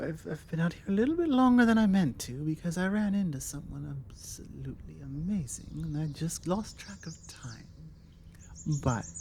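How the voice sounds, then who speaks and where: A woman with a British accent playing a forward, confident female vampire in an intimate ASMR roleplay, teasing and coaxing with deliberate pauses. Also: low voice, slight background noise. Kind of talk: ASMR roleplay, intimate one-sided conversation. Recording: steady outdoor ambience with birdsong. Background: birdsong and outdoor ambience.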